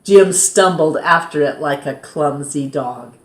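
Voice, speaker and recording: A woman reads a children's story aloud as a storytime host, in an expressive, measured way that dramatizes key words. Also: low voice. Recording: roomy, echoing sound.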